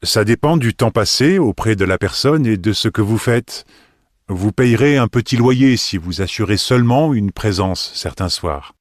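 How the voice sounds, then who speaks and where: A polite, helpful man, played by a male actor, speaking in a language-exam dialogue. His voice sits fairly low, in a dry, close recording. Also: animated, moderate pace, dry recording, natural voice.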